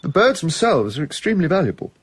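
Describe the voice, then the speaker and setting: A jovial old-school colonial official in a radio play. His upper-class English voice is husky and very animated, rising and falling as he chats enthusiastically. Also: fairly high pitch, quick pace. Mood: chatty, informative. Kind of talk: radio drama acting.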